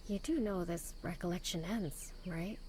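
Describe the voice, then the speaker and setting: A woman's voice: on the low side, normal speed, darkish tone, slight background noise, close to an everyday voice. An actress playing a casual, outspoken, protective young convent girl in a visual novel. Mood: gentle, casual.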